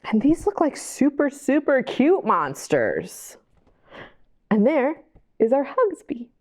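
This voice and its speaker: A woman hosting storytime, reading a picture book to young children in an animated way, with chatty questions and asides to the listeners. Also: high-pitched, slow. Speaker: library storytime host and reader.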